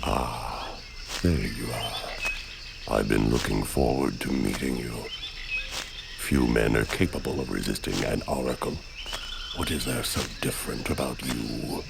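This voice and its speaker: A man voicing a haughty, menacing ancient oracle in a video game, gravelly and pompous, with slow theatrical delivery. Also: noisy background, heavily acted. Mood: menacing, gloating. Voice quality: gravelly, very deep. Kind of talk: video game villain acting.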